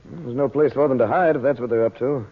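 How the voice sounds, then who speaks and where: An actor in an old radio drama playing an earnest, steady man who deliberates seriously. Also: medium pitch, close to an everyday voice. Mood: concerned. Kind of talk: 1940s radio drama acting. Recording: muffled, phone-like old radio broadcast recording.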